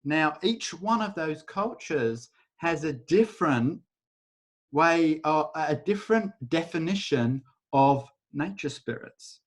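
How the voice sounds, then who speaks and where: A man leading a live online workshop over a video call, talking in a casual, rambling way and softly chanting a repeated phrase. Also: on the high side, lively intonation, slow pace. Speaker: workshop facilitator.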